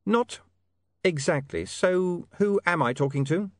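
A man voicing a dry, pedantic, quick-witted gentleman in an audiobook story, polite and precise. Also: sits high, animated, no room sound, voice pushed up. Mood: polite, curious.

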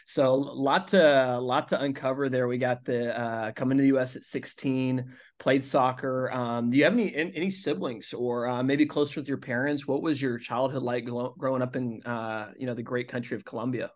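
A young man hosting a podcast, eagerly welcoming listeners and repeating excited, upbeat phrases as he introduces and questions his guest. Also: medium pitch, moderate pace, dry recording. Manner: enthusiastic, repetitive hype phrases. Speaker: podcast host.

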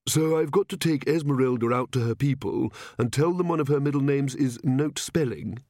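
A man's voice: medium pitch, normal speed, darkish tone, no room sound, clean studio sound, natural voice. A man voicing a flustered, hesitant king who tries to be commanding in a storybook reading. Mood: dismayed. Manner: hesitant, fussy. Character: flustered, anxious king, hesitant yet peremptory.

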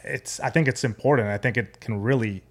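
A man's voice: mid-range voice, fairly quick. An American man talks conversationally as a guest on a podcast. He has an ordinary mid-range voice and explains his views in an earnest way. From United States and Jordan.

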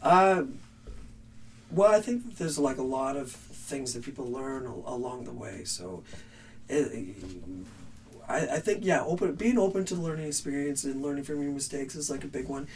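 A raspy-voiced man, a band's vocalist, rambling earnestly through interview advice with restarts. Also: medium pitch, lively intonation, moderate pace, far from the mic, slight background noise. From Canada. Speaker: band vocalist. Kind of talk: casual interview answers.

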